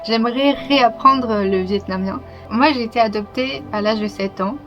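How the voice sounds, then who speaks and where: A young woman talking to her channel in a casual, reflective way, open and a little hesitant, with soft laughs. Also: a little high, normal speed, dull audio. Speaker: YouTuber. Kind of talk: casual personal vlog talk. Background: music.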